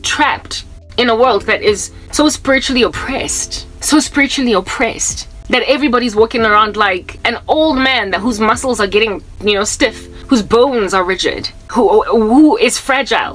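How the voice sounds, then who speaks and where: A woman's voice: fairly high pitch, a bit bright, rough recording. South African woman in her thirties preaching. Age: 30s. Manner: rambling, repeats herself, mixes in Zulu words, groans to mimic old people. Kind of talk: casual spiritual talk to camera.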